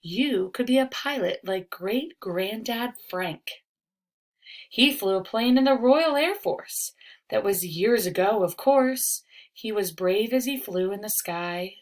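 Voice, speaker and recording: Lady doing encouraging older guy cousin from a kids book, bouncy pitch, slow rhymes. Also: lively intonation. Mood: reassuring. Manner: reassuring, enthusiastic, rhyming storybook delivery. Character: encouraging, upbeat older male cousin advising a child.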